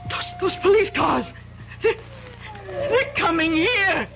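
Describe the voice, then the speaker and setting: Distraught woman, extremely high voice, slow wailing, tearful, muffled old radio drama. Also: animated, vocal fry, fairly bright tone, a little hiss or hum, full character voice. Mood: panicked, tearful. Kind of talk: 1970s radio drama acting.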